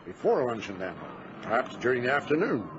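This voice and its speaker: An actor playing a dutiful, stubborn ship's captain in old-time radio drama, speaking with formal, old-fashioned courtesy. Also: male voice, medium pitch, very animated, fairly quick, natural voice. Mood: probing, courteous. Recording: noisy, muffled vintage radio recording.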